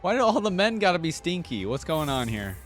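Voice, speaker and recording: A man's voice: fairly high pitch, big pitch swings, brisk, soft background music. A man hosting a reaction video, giving informal, chatty, easily amused commentary.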